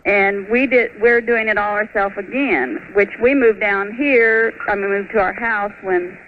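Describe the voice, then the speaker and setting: A woman's voice: medium pitch, steady tone, unhurried. A middle-aged woman with an American accent casually asking a question as a caller to a radio show. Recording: noisy, tinny phone line on radio.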